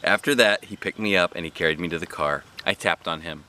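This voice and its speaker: A man reading a children's book aloud as a dad, with expressive, animated narration and put-on character voices. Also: low voice, a little fast, slight background noise.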